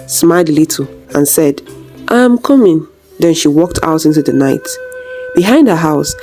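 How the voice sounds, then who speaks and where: A woman storyteller with a low, mellow voice narrates a village folktale. She, and faint music plays underneath.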